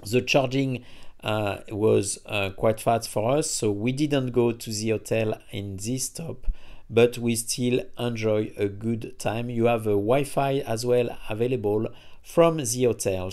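A man narrating a travel vlog about a charging stop and a city visit, speaking haltingly with many fillers and phrasing. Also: medium pitch, a little mellow. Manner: halting, frequent uh fillers, non-native phrasing. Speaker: travel vlogger reviewing EV chargers.

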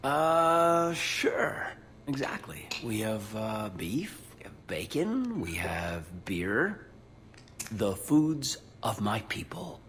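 A man playing a warm, flirtatious soldier in his thirties in a video game, with a soft, breathy North American voice. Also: on the low side, big pitch swings, very slow, slight background noise, heavily acted. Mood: teasing, charming. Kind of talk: video game romance acting. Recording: faint game music behind.

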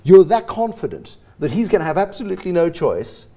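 Animated, mellow male host. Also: high-pitched, brisk. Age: middle-aged. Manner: teasing, probing. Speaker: TV show host. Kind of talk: lively unscripted studio interview. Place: TV studio, standing.

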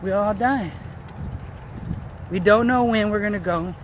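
Guy w a pretty high voice vlogging while walking outside, slangy n rambly, repeats himself a lot, bit of street noise. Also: unhurried. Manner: rambling, repetitive, self-interrupting, slangy. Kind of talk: casual walking vlog monologue. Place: outdoors on a roadside path. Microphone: phone held at arm's length. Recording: outdoor traffic and ambient noise.

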